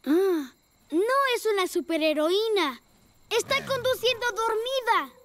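A woman's voice: very high-pitched, animated, a little slow, background noise. A woman voicing an eager, competitive young boy motorbike in a children's cartoon dub, earnest and childlike.

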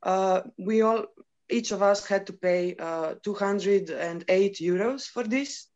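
Woman from Croatia, mid pitch, hesitant, dull lo-fi video call sound. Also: slow, a little mellow. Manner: hesitant, plain-spoken. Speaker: performance artist. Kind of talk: panel discussion over video call.

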